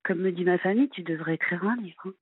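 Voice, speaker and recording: A woman in her fifties from France calling a late-night radio show, halting and hesitant with many fillers, heard over a phone line. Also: lowish voice, husky, no room sound. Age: 50s. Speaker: caller.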